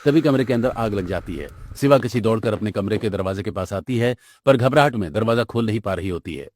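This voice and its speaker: A man's voice: lowish voice, lively intonation, fairly quick, darkish tone, dry recording. A man reading scripted narration for a cartoon story as its narrator.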